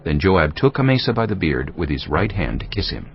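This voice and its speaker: A man's voice: low-pitched, very animated, brisk, a little hiss or hum. A man reading scripted narration for a dramatized audio Bible. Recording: dull band-limited audio with music bed.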